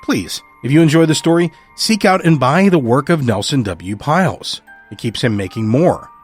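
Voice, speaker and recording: A man speaking as a podcast host, giving a measured, sincere scripted introduction to listeners. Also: mid-range voice, animated. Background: music.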